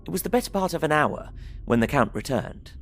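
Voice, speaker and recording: An actor playing an earnest, polite young English solicitor, narrating his journal in measured, bookish Victorian phrasing for an audio drama. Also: fairly high pitch, animated, a bit bright, soft background music. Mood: measured, matter-of-fact.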